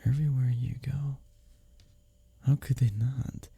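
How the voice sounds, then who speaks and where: A man acting as a smitten, teasing workplace rival in an intimate romantic roleplay, with a breathy, husky, halting delivery that often drops toward a whisper. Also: medium pitch, fairly even intonation, warm tone, no echo, full character voice. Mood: seductive, longing.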